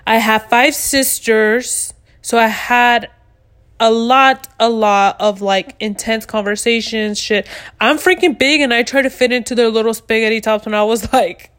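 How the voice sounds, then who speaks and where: A woman in her twenties from the United States, hosting a podcast in loud, blunt casual chat and swearing freely. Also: fairly high pitch, steady tone, measured pace. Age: 20s. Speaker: podcast host.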